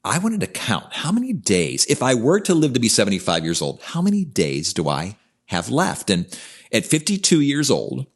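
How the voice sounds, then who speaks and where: A man's voice: mid-range voice, wildly swooping pitch, normal speed, husky. A man in his fifties co-hosting a podcast, talking in an earnest, reflective way in casual conversation. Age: 50s. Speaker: podcast co-host, coach.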